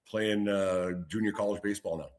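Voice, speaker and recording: A man co-hosting a sports interview, asking casual questions in a halting, informal way. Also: fairly low pitch, fairly even intonation. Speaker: sports show co-host.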